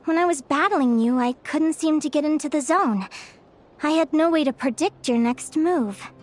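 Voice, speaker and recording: A woman's voice: sits high, normal speed, a little mellow, slight background noise, lightly acted. A woman voicing a young, curious bird fae explorer in a video game, talking in an eager, wondering way. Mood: friendly. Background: game music.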